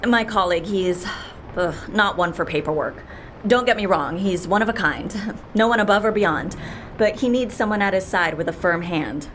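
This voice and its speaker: A woman playing a brisk, persuasive and wry boss of a shady outfit in an audio drama. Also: lowish voice, fairly bright tone, slight background noise, lightly acted. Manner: persuasive, wry, matter-of-fact. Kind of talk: audio fiction drama acting.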